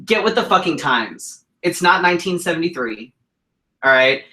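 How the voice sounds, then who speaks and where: Brief: male co-host, bright and forceful tone, blunt and profane, indignant delivery. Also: a little high, animated, moderate pace, poor audio. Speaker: co-host, drag king performer. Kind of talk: heated casual chat.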